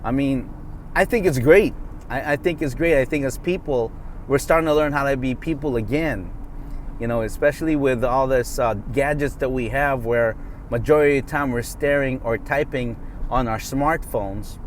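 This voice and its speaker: A man's voice: medium pitch, moderate pace. Middle-aged guy whose first language is tagalog, rambling off the cuff in his car, lots of ums, bit of road hum. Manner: rambling, many fillers and restarts. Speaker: vlogger. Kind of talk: casual off-the-cuff vlog talk. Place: in a car while driving.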